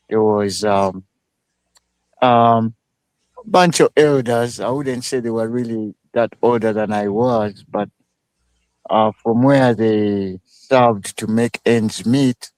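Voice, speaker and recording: A man from Uganda who speaks as a guest in a casual live interview. He talks slowly and haltingly, with many fillers. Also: on the low side, darkish tone, clean tone, no echo, poor audio.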